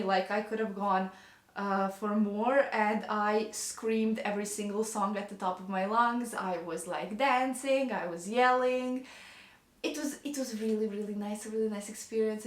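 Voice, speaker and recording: Chatty Croatian woman in an echoey kitchen. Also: a little high. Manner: chatty, rambling, self-deprecating. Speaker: vlogger. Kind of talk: casual kitchen chat. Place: home kitchen.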